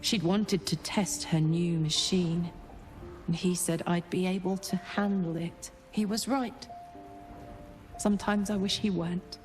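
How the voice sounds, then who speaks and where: A woman acts out a tough female tiefling fighter in a cinematic game scene. She talks slowly in a lowish voice, blunt and informal, with hurt under the words. Music plays clearly behind her. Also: fairly even intonation, lightly acted. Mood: bitter. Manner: informal, blunt, emotive storytelling. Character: brawny female tiefling ex-bodyguard, rough, wounded, bitter. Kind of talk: cinematic video game acting.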